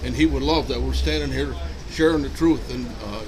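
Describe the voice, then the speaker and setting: A man who preaches on the street, speaking earnestly and in a measured way to police outdoors. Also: medium pitch, a crowd in the background. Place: outdoors on a city sidewalk. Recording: noisy busy street outdoors.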